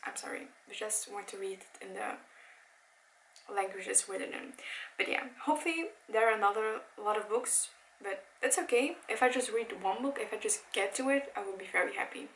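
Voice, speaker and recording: A young woman in her twenties whose first language is Dutch talks casually at home. She sounds eager and chatty, stumbling and correcting herself now and then, with a voice at an ordinary middle pitch. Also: a bit bright, rough recording. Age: 20s. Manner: chatty, enthusiastic, with frequent self-corrections and "like". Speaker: book vlogger. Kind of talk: casual chat. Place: home room by a bookshelf.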